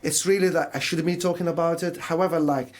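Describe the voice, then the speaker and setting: A man's voice: on the high side, steady tone, fast. A man from Syria with a Syrian Arabic accent, speaking conversationally as a guest in a radio interview and explaining things with imagined quotes. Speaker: mental health charity service manager, radio guest.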